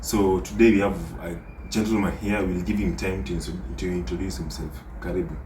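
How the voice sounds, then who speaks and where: A man's voice: sits low, fairly even intonation, fairly quick, dark tone. A Kenyan man co-hosting a casual interview, asking questions in an easygoing way and filling in with short okays. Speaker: co-host. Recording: echoey room, distant mic, background noise.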